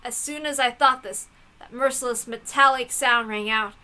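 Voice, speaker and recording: A woman giving a dramatic, theatrical narrated reading of a story in an expressive manner. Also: on the high side, moderate pace, clean tone. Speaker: reader of a visual novel.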